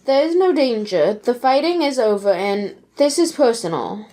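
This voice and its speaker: A woman's voice: on the high side, animated, normal speed, warm tone, a little hiss or hum, natural voice, rough recording. A woman playing an earnest young noblewoman and wife in a parody audio play, pleading melodramatically. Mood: resolute.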